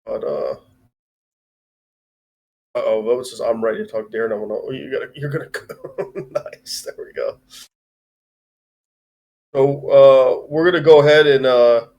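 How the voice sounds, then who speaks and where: A man's voice: mid-range voice, unhurried, vocal fry, pauses often, a little mellow. A man co-hosting a live stream in casual, slangy chat.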